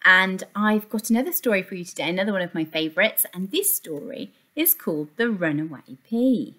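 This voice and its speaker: A woman's voice: mid-range voice, expressive, fairly quick, sharp tone. A woman reads a picture book to young children with sing-song emphasis, like an infant teacher. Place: small indoor classroom.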